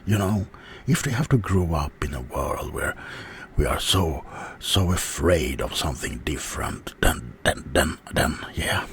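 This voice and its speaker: An offended, sulky werewolf, acted out by a man for an ASMR roleplay, speaks in an extremely deep voice. He is halting and wounded, often sinking into a whisper. The pace drags, and some noise sits behind the voice. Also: animated. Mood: hurt, aggrieved. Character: offended, sulky adult male werewolf being interviewed. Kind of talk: ASMR character roleplay monologue.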